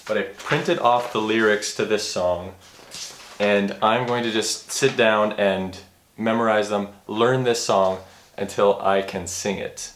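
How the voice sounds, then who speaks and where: Fairly low male vlogger, casual and self-deprecating, stumbling over restarts. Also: fairly even intonation, slow pace, a bit bright, slight background noise. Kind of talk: casual vlog talk to camera. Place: room at home. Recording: roomy echo with faint music.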